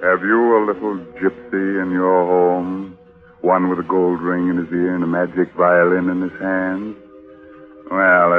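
An actor playing a ghoulish, mock-cheerful host of a 1940s radio mystery, theatrical and tongue-in-cheek on a muffled old broadcast. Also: male voice, sits low. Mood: ominous. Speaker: horror radio show host.

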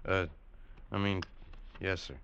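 An actor playing an easygoing, guileless cavalry trooper with a rustic drawl in an old-time radio drama. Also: male voice, low-pitched, flat delivery, takes it slowly, gravelly, fairly bright tone, slight background noise, heavily acted. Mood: puzzled, deferential. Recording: dull 1950s radio recording.

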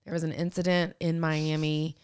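A woman in her thirties, a podcast co-host, chatting casually and telling stories with animated, laugh-filled energy. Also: deep voice, moderate pace, clear, resonant tone. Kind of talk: casual chat.

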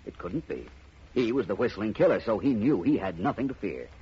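An actor playing a shrewd, self-assured detective in a 1950s radio drama, suave and deliberate with wry phrasing. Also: male voice, lowish voice, big pitch swings, husky, full character voice. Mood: quietly triumphant. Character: shrewd, self-assured private detective explaining his case. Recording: muffled vintage radio recording.

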